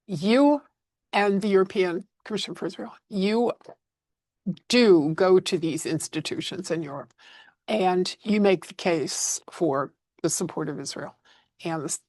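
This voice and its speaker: A woman's voice: fairly low pitch, a little slow, a little mellow, no room sound. Direct woman interviewer in her sixties. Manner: direct, conversational questioning. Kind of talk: webinar interview. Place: studio with logo backdrop, seated. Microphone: lapel mic.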